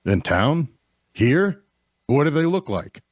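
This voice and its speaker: An actor playing an easygoing, practical tavern keeper in a fantasy drama read, casual and matter-of-fact. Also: male voice, fairly high pitch, very animated, slow pace, no room sound, clean studio sound, exaggerated voice. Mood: concerned.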